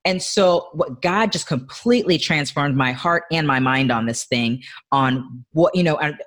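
A woman's voice: sits low, unhurried, a bit bright. A woman speaking as a podcast guest in a conversational teaching style, emphatic and repeating phrases for stress, often checking in with short tag questions. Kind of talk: conversational teaching on faith and business.

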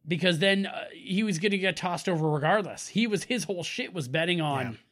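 A man with a moderately high voice chats casually as he reacts to a film. He is blunt and jokey, and swears freely. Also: animated, brisk, a bit bright. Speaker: podcast co-host.

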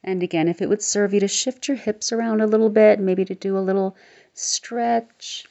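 A woman guiding a relaxation meditation, speaking slowly and soothingly and sometimes sinking into a soft near-whisper. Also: mid-range voice, steady tone. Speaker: meditation guide. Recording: slightly dull lo-fi recording.

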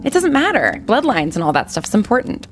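A woman's voice: mid-range voice, wildly swooping pitch, brisk, faint music behind. A woman playing a tabletop game, improvising casually in and out of role-play.

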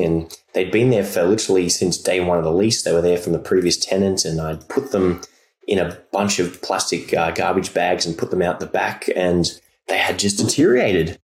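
Australian male podcast host, very low voice, getting over a throat infection, recorded in a roomy space. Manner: chatty and rambling. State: recovering from a sore throat and chest infection. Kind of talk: casual solo podcast talk.

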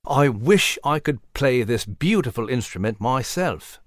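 A fussy, learned mouse from a children's tale, played by a man. He speaks in animated swoops with a pompous, formal manner, recorded close and dry. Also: medium pitch, a little slow, no room sound, close to an everyday voice. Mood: wistful. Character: pompous, scholarly British gentleman mouse, fussy and excitable. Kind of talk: animated children's storybook character reading.

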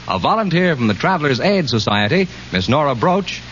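A man's voice: on the high side, very animated, brisk, fairly bright tone, lo-fi sound, a little hiss or hum. A man announcing on an old-time radio quiz show, reporting scores and introducing contestants.

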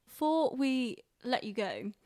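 A woman's voice: on the high side, sing-song, slow pace, a little mellow, dry recording. A woman co-hosting a podcast in casual chat, with an informal, bantering manner. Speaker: podcast co-host.

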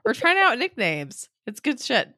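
Young woman co-host, lively sing-song delivery, slightly cold-roughened voice, dry close mic. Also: a little high, moderate pace, no room sound. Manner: laughing, loose, swearing freely. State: recovering from a cold, voice a bit off. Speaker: podcast co-host. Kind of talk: casual chat.

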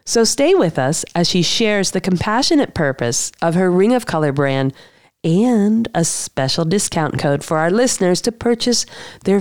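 Low-voiced woman hosting a podcast. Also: a little mellow, no room sound, clean studio sound. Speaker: podcast host. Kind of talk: scripted podcast introduction and interview.

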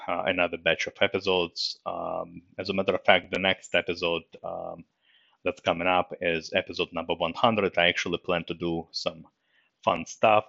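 Low gravelly Russian-accented man. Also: pushed and bright, no room sound, lo-fi sound. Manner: halting, many fillers, subdued. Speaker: podcast host. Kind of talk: solo podcast announcement.

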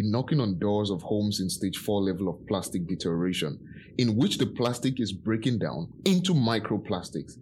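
A man's voice: fairly low pitch, a little mellow, a little hiss or hum, rough recording. A man playing an earnest, officious walrus professor in a children's radio play, speaking formally.

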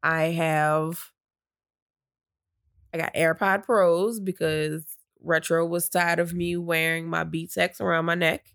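Woman, lower voice, stuffy nose, chatty and rambling, downplays her own excitement. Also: takes it slowly, dry recording, studio quality. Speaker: podcast co-host. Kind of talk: casual storytelling chat.

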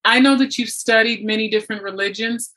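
A woman's voice: on the high side, fairly even intonation, a little fast, fairly bright tone, poor audio. A woman hosting a conversational interview, speaking in an earnest, encouraging way. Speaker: interview host.

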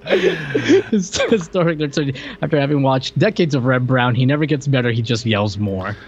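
Male co-host, average pitch, faint noise behind him, casual and halting movie banter with a joking streak. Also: expressive, dull audio. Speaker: podcast co-host. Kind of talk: casual movie review chat. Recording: noticeable background noise.

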